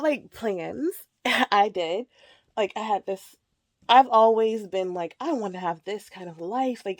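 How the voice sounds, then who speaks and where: A woman's voice: mid-range voice, no room sound, clean studio sound. A woman in her thirties vlogging casually from a child's bedroom while packing, rambling with lots of fillers and a slightly nasal voice from a cold. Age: 30s. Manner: rambling, laughs often, lots of "like" and "um"; packing clothes while talking. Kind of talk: casual chat.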